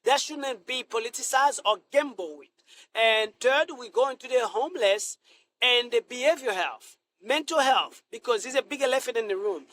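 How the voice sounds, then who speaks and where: A middle-aged man from Haiti, a Senate candidate answering interview questions in a halting way, full of restarts and fillers. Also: very high-pitched, animated, pushed and bright, slight background noise.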